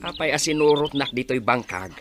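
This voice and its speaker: A man's voice: mid-range voice, animated, a little fast, music in the background, lightly acted. A man playing a grumbling village husband in a radio comedy drama, with an Ilocano accent.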